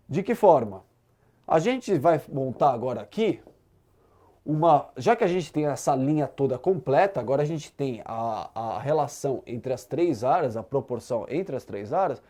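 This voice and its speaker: Casting: Brazilian man as an exam-prep teacher, soft-edged mellow timbre, very varied intonation, didactic delivery. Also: fairly high pitch. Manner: didactic, checks understanding with short tag questions. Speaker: math teacher. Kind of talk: explanatory exam-prep lesson.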